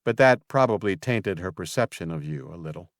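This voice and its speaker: A man's voice: low-pitched, expressive, a little fast, dry recording, clean studio sound. A man voicing a poised, gracious woman mayor who speaks politely. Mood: friendly.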